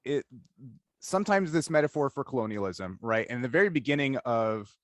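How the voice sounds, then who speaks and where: Man, podcast interviewer, mid-pitched voice, dry recording, halting and conversational delivery. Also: animated, no room sound. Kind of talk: casual interview intro and chat.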